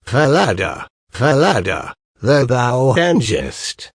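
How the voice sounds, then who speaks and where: A man acts out a wronged young princess tending geese in a storybook tale. The sorrowful girl chants her rhymes very slowly, with a husky grain and words that swoop downward. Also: mid-range voice, sing-song, no room sound, studio quality, full character voice.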